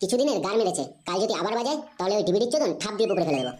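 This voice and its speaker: A woman's voice: mid-range voice, very animated, racing, loud music behind the voice, natural voice. A woman voicing a hot-tempered, foul-mouthed cartoon boy, brash and slangy, with a West Bengali accent. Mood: irritated. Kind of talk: comedy cartoon dialogue. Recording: clearly noisy background.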